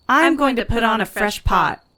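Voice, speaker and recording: A woman's voice: on the high side, sharp tone, exaggerated voice. A woman playing a brusque, sardonic alien diner boss in an audio comedy, speaking bluntly. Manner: blunt, sarcastic. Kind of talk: audio sitcom acting.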